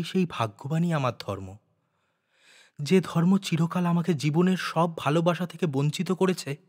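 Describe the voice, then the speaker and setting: A man voicing an earnest, resolute young woman in a storybook reading, soft and solemn. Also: on the high side, big-room sound, natural voice. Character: earnest, devoted young woman, once outcast, now resolute.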